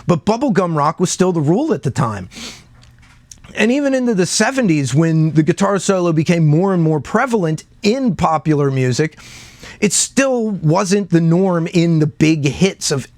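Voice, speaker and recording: A man's voice: a little high, animated, moderate pace, darkish tone, no echo. A man hosting a casual music commentary video, speaking in a conversational, emphatic and opinionated way. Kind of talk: casual opinion talk to camera.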